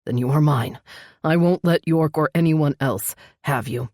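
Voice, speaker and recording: Woman voicing a low, possessive vampire lover. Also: a little slow, no room sound, clean studio sound. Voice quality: low, growling. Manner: forceful, insistent, swearing. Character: possessive, hot-tempered male vampire lover, intense and stubborn. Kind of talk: dramatic romance audiobook dialogue.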